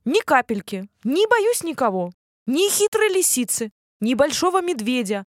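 A woman voicing a boastful young male hare with a loud, sing-song bragging manner in a children's tale. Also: high voice, very animated, normal speed, no echo, studio quality, voice pushed up.

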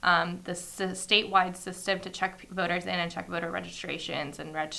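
A college woman in her twenties explains in an interview, stopping and restarting her phrases. Her low-pitched voice is gravelly and sharp-edged. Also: level tone. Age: 20s. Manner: halting, with restarts. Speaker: student organizer. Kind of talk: interview answer.

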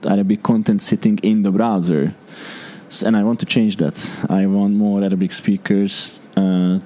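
A young man from Hungary talks in English with a Hungarian accent, his voice low-set and mellow. He answers interview questions earnestly, pausing to search for words. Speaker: startup founder.

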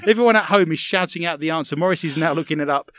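A man's voice: on the high side, rapid-fire, a bit bright, no echo. A British man hosting a radio show with teasing, dryly jokey banter as he reads out listener messages.

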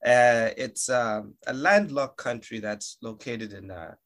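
A man's voice: medium pitch, measured pace, fairly bright tone. A young man from Malawi, an actor, chatting as a guest in a casual interview, joking, laughing often and restarting his sentences.

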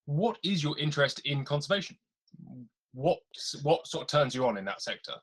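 A man interviewing a guest in a relaxed, friendly way. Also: a little high, a little fast, slightly rough. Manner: easygoing, calls guest mate. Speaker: podcast interviewer. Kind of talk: casual interview chat.

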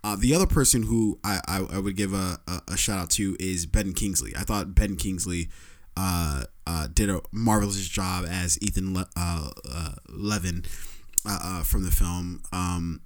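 A man co-hosting a podcast, chatting casually about a film with a halting delivery and many restarts. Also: low-pitched. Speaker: podcast co-host. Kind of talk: casual film review chat.

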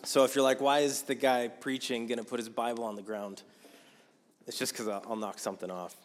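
Hoarse-voiced preacher in a reverberant church. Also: male voice, mid-range voice, fairly even intonation, brisk. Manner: casual, conversational, with many fillers. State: losing his voice. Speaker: guest preacher. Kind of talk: sermon. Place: church sanctuary. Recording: long hall reverb.

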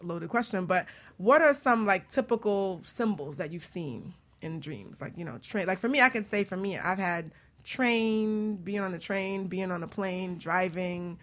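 A woman's voice: fairly low pitch, lively intonation, unhurried. A woman hosting a talk show in an informal, conversational and joking way. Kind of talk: casual chat.

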